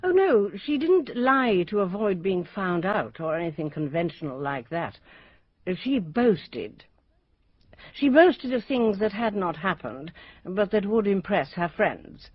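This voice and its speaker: Blunt headmistress, very expressive. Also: female voice, mid-range voice, unhurried, no room sound. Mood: brisk, dismissive. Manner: crisp, formal, blunt. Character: brisk, unsentimental, authoritative headmistress. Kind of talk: radio drama acting. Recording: dry, slightly dull radio audio.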